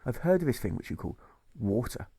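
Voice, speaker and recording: A man's voice: medium pitch, big pitch swings, fairly quick, vocal fry, warm tone, slightly rough, dry recording, studio quality, full character voice. A man voicing a timid carp in a storybook fable, hesitant and fretful in manner. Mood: pondering.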